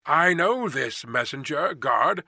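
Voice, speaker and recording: A man playing a polite, anxious gentleman passenger in a storybook reading, with a mildly quavering voice. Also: on the high side, lively intonation, takes it slowly, husky, dry recording, studio quality, heavily acted. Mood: reassuring.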